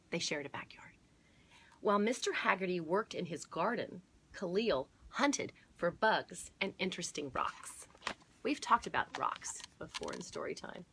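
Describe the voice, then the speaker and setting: A woman hosting a children's storytime, reading aloud and pausing to explain and point things out to her listeners. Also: on the low side, a bit bright, breathy edge. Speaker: storytime host at a museum garden. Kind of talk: read-aloud storytime for children with chatty asides.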